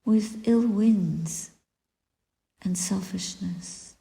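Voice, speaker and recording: A woman guiding a meditation as a Buddhist teacher, speaking softly and deliberately in short phrases with long pauses and breathy endings. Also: medium pitch. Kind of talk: guided meditation, spoken dharma reflection. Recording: echoey room with long reverb.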